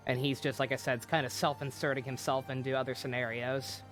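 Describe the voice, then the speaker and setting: A man's voice: mid-range voice, flat delivery, brisk, fairly bright tone, faint music behind. A man doing casual live game commentary, rambling and swearing as he thinks aloud. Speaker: video game streamer.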